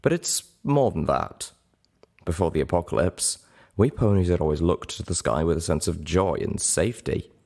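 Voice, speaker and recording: A man's voice: sits low, expressive, moderate pace, gravelly, darkish tone, exaggerated voice. A man voicing a guarded, thoughtful grey female unicorn telling her tales in a storybook reading.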